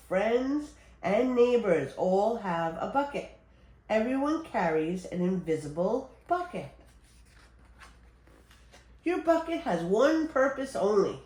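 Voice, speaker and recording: An older woman reading a children's picture book aloud as a storyteller, with expressive, deliberate phrasing for young listeners. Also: medium pitch. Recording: roomy echo with background noise.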